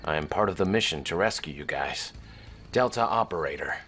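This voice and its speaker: A man's voice: low voice, animated, fairly quick, a bit bright, faint music behind. A man playing a cocky, wry young army sergeant in an audio drama, with a casual, wisecracking manner. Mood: casual, curious.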